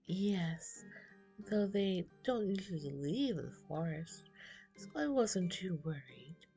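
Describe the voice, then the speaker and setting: Woman voicing Anna, sweet priestess, noticeably lifted pitch. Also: animated, slow, soft background music. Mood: teasing, reassuring. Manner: gentle, polite, perky. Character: Anna, sweet cheerful confident young priestess. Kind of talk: storybook character voice.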